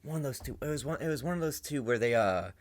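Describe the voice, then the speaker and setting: A man's voice: mid-range voice, lively intonation, fast. A young man hosting a reaction video, commenting casually and rambling as he thinks aloud. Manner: rambling, many fillers and restarts, thinking aloud. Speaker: reaction channel host.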